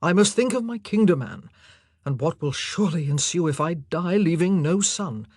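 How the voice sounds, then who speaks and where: A narrator voicing an anguished, impassioned and forceful male monarch in an audiobook drama. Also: mid-range voice, lively intonation, measured pace, dry recording, studio quality. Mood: forceful, fearful.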